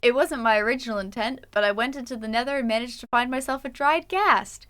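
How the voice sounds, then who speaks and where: A woman's voice: fairly high pitch, fast talker, fairly bright tone. A young adult Canadian woman streaming a game, chatting casually and reading her journal entries aloud. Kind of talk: casual livestream chat while gaming.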